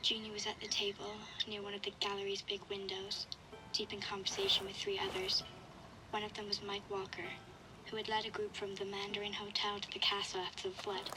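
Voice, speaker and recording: A woman playing a wary, reflective young girl who narrates an audio diary in a measured, matter-of-fact way. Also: medium pitch, monotone, noisy background, close to an everyday voice. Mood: somber, reflective. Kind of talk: first-person audio log narration.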